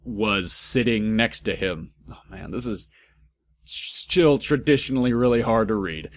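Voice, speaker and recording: A man's voice: lowish voice, unhurried. A man doing a comic dramatic read-aloud, theatrical and exaggerated, breaking into amused asides. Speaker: narrator and host of a comedic fan fiction reading.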